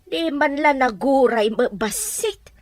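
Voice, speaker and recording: A woman's voice: high-pitched, very animated, slow pace, dry recording, heavily acted. A woman playing a concerned, indignant older household helper in an Ilocano radio drama. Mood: curious, disapproving.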